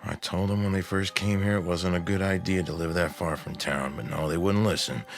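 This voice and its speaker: An actor playing a grave, practical small-town husband in a radio drama, speaking tersely and matter-of-factly in a gravelly voice. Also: male voice, low-pitched, steady tone, brisk. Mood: grim. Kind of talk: old-time radio drama acting.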